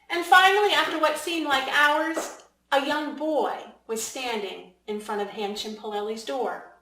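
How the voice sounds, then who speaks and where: A woman reading a children's storybook aloud as its author, in an expressive, deliberate way with emphasis for young listeners. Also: medium pitch. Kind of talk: storybook read-aloud narration. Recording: echoey room.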